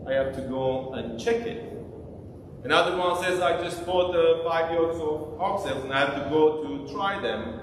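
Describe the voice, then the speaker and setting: A man's voice: fairly high pitch, lively intonation, normal speed, noisy background. A man in his thirties, an Armenian-speaking priest, preaching to his congregation and asking them questions. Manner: interactive, asks the congregation questions. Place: small church sanctuary, indoors. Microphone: far camera. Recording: echoey church from far off.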